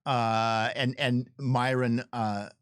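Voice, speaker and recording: A man, a game developer, telling stories in an emphatic, animated way in a casual unscripted livestream chat. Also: mid-range voice, takes it slowly, clean tone, no echo, clean studio sound. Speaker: game developer giving commentary.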